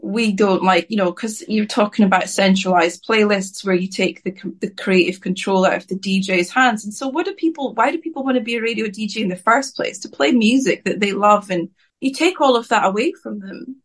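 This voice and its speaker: A woman's voice: mid-range voice, fairly quick. A woman in her thirties, a singer-songwriter from Scotland, answering interview questions in an outspoken, rambling way, often breaking off and restarting her sentences.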